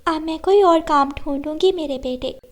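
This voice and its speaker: A high, gentle mother bird from a children's cartoon, acted out by a woman. She is hardworking and humble. She speaks politely, with a soft, pleading manner. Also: moderate pace, natural voice. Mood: sad, apologetic.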